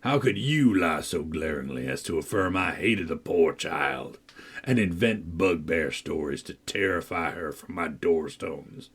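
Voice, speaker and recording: A man reading a novel aloud, voicing a deep-voiced, menacing villain who sneers, coaxes and threatens. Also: animated, a little slow, fairly bright tone, slightly rough, full character voice. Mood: coaxing. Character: menacing, brooding older man, a manipulative villain.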